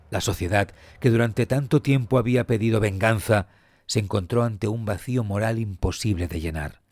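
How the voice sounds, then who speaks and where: A man reading scripted documentary narration in a solemn, measured, dramatic way with weighty pauses. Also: fairly low pitch, darkish tone, dry recording. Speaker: true crime podcast narrator. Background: background music.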